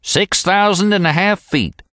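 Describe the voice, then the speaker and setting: A man voicing a weathered old mountain packer who yells everything in a folksy rustic drawl. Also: high-pitched, a little slow, no echo, studio quality, pitched above the performer's own voice. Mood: hearty yelling.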